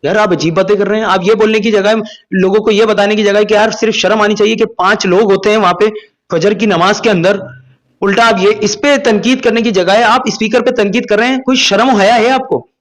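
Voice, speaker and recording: Indignant high-voiced male reaction host. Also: fairly even intonation, fairly quick. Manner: indignant, scolding. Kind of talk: critical reaction commentary.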